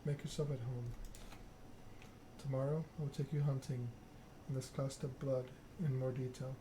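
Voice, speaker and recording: A man's voice: medium pitch, little pitch movement, moderate pace, close to an everyday voice. A man voicing a composed, courteous female vampire sire in a story game, over noisy ambience. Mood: composed, hospitable. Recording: clearly noisy background ambience.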